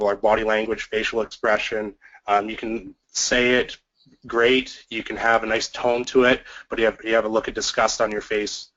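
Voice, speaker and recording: A man with a lowish voice speaks with a cold, his voice worn and fading as he clears his throat between points. He talks officials through a presentation on communication. The sound is dull and lo-fi. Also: fairly bright tone. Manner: frequent throat clearing, fillers. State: has a cold, losing his voice. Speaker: basketball referee presenter.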